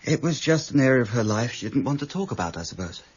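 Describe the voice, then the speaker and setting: An actor playing a concerned, guarded office worker with a hesitant manner in a radio crime drama. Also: male voice, mid-range voice, sing-song, fast talker, breathy edge. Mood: guarded, defensive. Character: concerned, guarded male office colleague of missing woman. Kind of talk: 1990s British radio drama acting. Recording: muffled archive radio recording.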